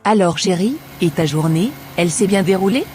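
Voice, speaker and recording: A woman voices an agreeable married churchgoer in a cartoon. Her voice sits low and her lines are scripted. There is light music in the background. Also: fairly quick, mellow, lightly acted.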